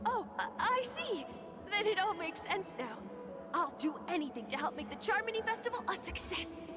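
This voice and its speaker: Game casting: starstruck young female guest, extremely high, swooping voice, flustered and eager. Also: lively intonation, a little fast, over loud music. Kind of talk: video game voice acting.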